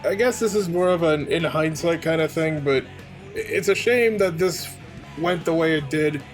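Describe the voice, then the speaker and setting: A man's voice: fairly high pitch, normal speed, faint music behind. A man co-hosting a let's play, talking casually and bluntly in a rambling conversational way. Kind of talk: casual gaming commentary chat.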